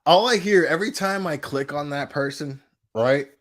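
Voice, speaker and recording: A man ranting in a casual reaction video, blunt and profane, with lots of restarts and slangy fillers. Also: on the high side. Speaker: online commentator.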